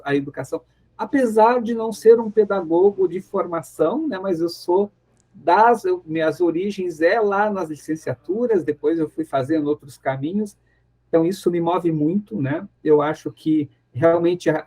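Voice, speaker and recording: Brazilian man, halting and reflective in interview. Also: on the high side, a little mellow. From interior of São Paulo state, Brazil. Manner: halting, searching for words, repeats phrases. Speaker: professor, interview guest. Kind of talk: reflective conversational radio interview.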